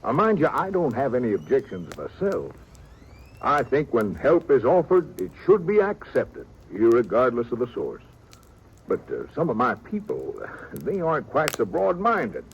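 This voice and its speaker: An actor playing a proper, apologetic small-town reverend in a 1950s radio western, hesitant and stammering. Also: male voice, medium pitch, huge pitch swings, moderate pace. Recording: slightly noisy old radio recording.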